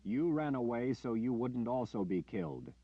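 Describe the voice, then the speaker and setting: Guy reading a forceful warrior leader, urgent and emphatic, kinda muffled audio. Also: mid-range voice, moderate pace, vocal fry, no echo, pitched above the performer's own voice. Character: commanding male warrior leader, intense and urgent. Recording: dull dry lo-fi audio.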